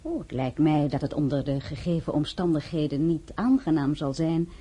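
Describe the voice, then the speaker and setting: A woman's voice: low-pitched, normal speed, mellow, breathy edge. A cool, cutting noblewoman. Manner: cool, cutting, sometimes whispered. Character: proud young noblewoman, sharp-tongued heroine. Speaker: narrator and lead role. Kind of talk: radio play acting.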